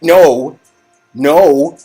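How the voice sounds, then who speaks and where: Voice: mid-range voice, very slow, mellow, soft background music, poor audio. A co-reader of a story game bantering playfully with exaggerated mock disbelief.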